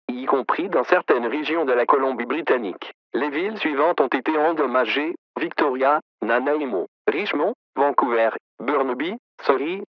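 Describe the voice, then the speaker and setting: A man's voice: medium pitch, no room sound. A man delivering a scripted emergency alert in a formal, synthetic-sounding way. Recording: dry, muffled radio-like audio.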